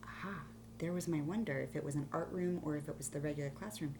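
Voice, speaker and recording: A woman's voice: low-pitched, fast talker. A woman teacher giving a read-aloud lesson to children, explaining instructively and thinking aloud with questions to her listeners. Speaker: elementary school teacher. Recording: clearly audible hum and background noise.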